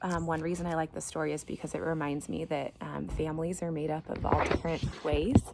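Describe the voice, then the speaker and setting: A woman's voice: deep voice, little pitch movement, brisk, gravelly, very noisy. A woman reading a picture book aloud as a teacher would, expressively, with casual asides to the listeners.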